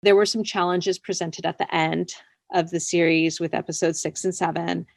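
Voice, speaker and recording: A woman's voice: lowish voice, fairly even intonation, normal speed, vocal fry, no room sound. A woman being interviewed as a writer, chatting casually and informally, with plenty of "like" and "you know". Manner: informal and rambling, often says "like" and "you know". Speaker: interviewed scriptwriter.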